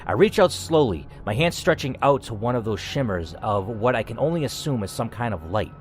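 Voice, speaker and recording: A man's voice: medium pitch, a little fast, soft background music. A man giving a dramatic, theatrical reading of a scripted story, as a gaming host narrating aloud. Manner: theatrical, expressive reading with asides.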